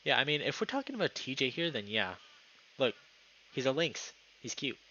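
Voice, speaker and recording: A man's voice: medium pitch, sing-song, moderate pace, pushed and bright, dull audio, slight background noise. A young man live streaming, moving between casual chat and reading story narration aloud.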